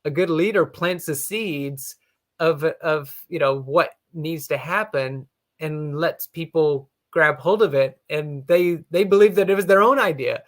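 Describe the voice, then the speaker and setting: Thoughtful man, higher pitch. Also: measured pace. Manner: thoughtful, many "you know" fillers. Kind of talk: casual explanatory talk.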